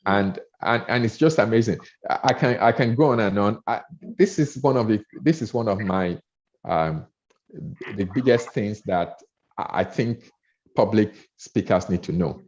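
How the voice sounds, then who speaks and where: A man's voice: lowish voice, lively intonation, moderate pace, a little mellow. Nigerian man coaching speakers, lo-fi. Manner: earnest, repeats words and restarts often, rising emphasis on key points. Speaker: speaker trainer. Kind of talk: coaching talk on public speaking. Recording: dull lo-fi audio.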